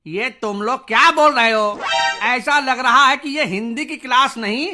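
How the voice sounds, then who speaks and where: A man's voice: very high voice, a little slow. A man voicing an exasperated, scolding male schoolteacher in a comedy cartoon. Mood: stern, baffled. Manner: stern, scolding. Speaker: teacher. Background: music.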